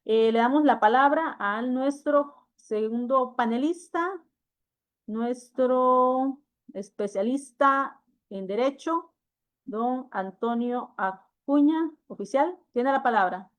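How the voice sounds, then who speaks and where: Salvadoran-born woman moderating a panel. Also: high-pitched. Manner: measured, hesitant with frequent fillers. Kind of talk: panel discussion.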